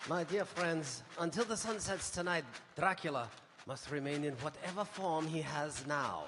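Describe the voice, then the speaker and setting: An actor playing a commanding professor who leads a vampire hunt, grave and emphatic, in a theatrical radio drama. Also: male voice, fairly high pitch, lively intonation, normal speed, background noise, lightly acted. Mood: grave, resolute. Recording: echoey hall with long reverb.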